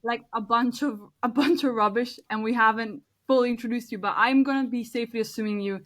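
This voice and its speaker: Woman, podcast host, bubbly, giggly, fairly high voice, casual chat. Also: fairly even intonation, fairly quick, a bit bright.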